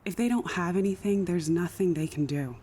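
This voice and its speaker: A woman's voice: lowish voice, fairly quick, mellow, slight background noise, lightly acted. A woman acting a composed, cynical government insider in an audio drama, speaking dryly.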